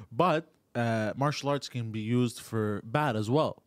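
A man co-hosting a podcast, bringing up news topics in casual chat, halting and often repeating words as he talks. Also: medium pitch, dry recording. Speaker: podcast co-host.

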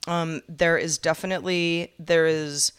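A woman with a low voice co-hosts a relaxed podcast chat. She mostly chimes in with short, quiet replies and soft agreement. When she speaks at length, she stays casual and unhurried. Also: clean tone. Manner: brief backchannel replies, quiet. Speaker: podcast co-host.

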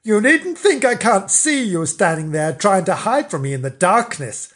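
A storyteller voicing a cross grown man who quickly flies into a rage and shouts threats. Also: medium pitch, big pitch swings. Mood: furious.

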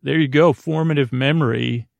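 Creaky, meandering man hosting a podcast. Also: medium pitch, fairly even intonation, a little mellow, no room sound. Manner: meandering, halting, frequent self-interruption and tangents; droll, whimsical, self-deprecating. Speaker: podcast host. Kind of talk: rambling comedic monologue for a sleep podcast.

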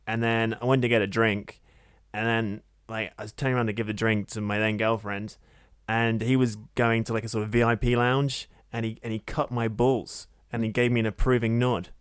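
A man's voice: on the low side, little pitch movement, brisk, clean tone, no echo, clean studio sound. A young man co-hosting a casual podcast, telling a rambling, self-mocking story and laughing often. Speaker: podcast co-host. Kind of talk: casual chat.

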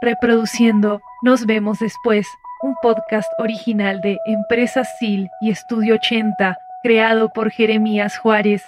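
An actress voicing a composed, impersonal electronic assistant, making formal scripted announcements in an audio drama. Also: female voice, a little high, flat delivery, measured pace, hardly any pauses, music in the background, close to an everyday voice.

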